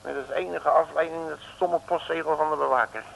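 Grumbling detective, sharp-voiced. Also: male voice, on the high side, fairly even intonation, brisk, gravelly. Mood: indignant. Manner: exasperated, hammy, stammering complaints. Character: grumbling theatrical male detective stuck in jail. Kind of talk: 1950s-60s comic radio play acting. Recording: noisy old radio recording.

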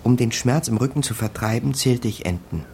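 An actor playing a laconic small-time Berlin crook who narrates his own story in a radio drama, dry and deadpan. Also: mid-range voice, brisk, creaky, slight background noise, natural voice. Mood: dry, wry. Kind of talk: radio drama first-person narration and dialogue.

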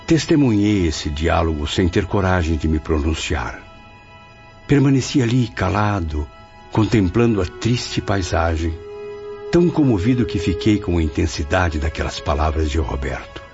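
A man's voice: deep voice, lively intonation, normal speed, a little mellow. A man acting a troubled, questioning spirit who recounts his memories in a radio drama, grave and literary. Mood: moved. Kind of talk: radio drama narration and dialogue. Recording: dull lo-fi audio over music.

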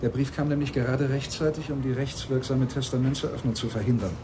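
An actor in a German radio drama playing a businesslike lawyer, sceptical, dry and questioning. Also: male voice, medium pitch, fairly even intonation, fast talker, background noise, lightly acted. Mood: accusing. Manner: interrogating, dry. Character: sceptical, businesslike male lawyer, dryly mocking.

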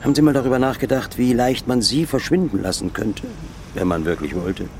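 A man's voice: mid-range voice, animated, brisk, darkish tone, slight background noise, natural voice. A man playing a cynical, sinister figure in a radio drama, making insinuating, veiled threats. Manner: insinuating, mocking.

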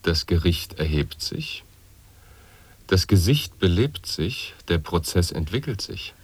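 A man's voice: deep voice, big pitch swings, husky, dry recording, full character voice. A man playing a grave figure who intones nonsense pronouncements word by word in an avant-garde radio play. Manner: deliberate, clipped word-by-word declamation. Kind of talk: avant-garde radio drama, sound poetry.

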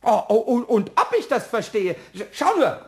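A man voicing a cheeky, childlike little male kobold in a German radio play, stammering eagerly and repeating words in a squeaky voice. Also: very animated, normal speed, soft background music, heavily acted. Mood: curious, mischievous. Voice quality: high, squeaky voice.

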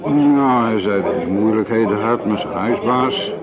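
Chatty café owner, busy crowd. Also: male voice, fairly low pitch, expressive, unhurried, rough recording. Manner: talkative, matey, tags questions with "hè". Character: chatty, bustling café owner, shrewd about money. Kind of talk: Dutch radio play acting.

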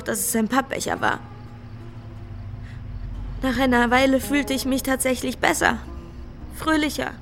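An actress playing a desperate, frightened young prostitute on the run in a radio crime drama, emotionally raw and pleading. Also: female voice, on the high side, gravelly. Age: young adult.

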